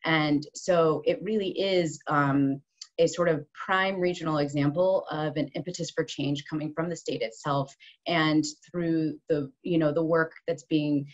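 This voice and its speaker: A woman's voice: low voice, fairly even intonation, measured pace. A woman human rights lawyer, giving an earnest conference talk full of ums and restarts over a video call. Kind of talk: online conference presentation. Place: office room at home base, rain on the roof. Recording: slightly dull video call audio.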